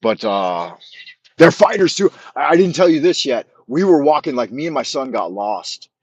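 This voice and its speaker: A man's voice: medium pitch, very animated, normal speed, husky, dry recording, muffled recording. A middle-aged man chatting on a casual live stream, rambling with frequent restarts and repeated words, and speaking bluntly. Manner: blunt, swears freely. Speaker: livestream host.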